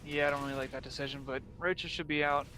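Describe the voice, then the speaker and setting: A young man from Pennsylvania giving steady, explanatory play-by-play commentary on a strategy game. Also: a little high, fast. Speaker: play-by-play caster. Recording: faint background noise.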